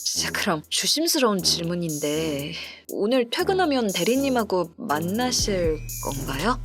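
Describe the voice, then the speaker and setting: A woman's voice: lowish voice, big pitch swings, a little slow. A woman playing a kind, earnest young female office colleague in a Korean audio drama, speaking politely. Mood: sympathetic, resolved. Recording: background music under the voice.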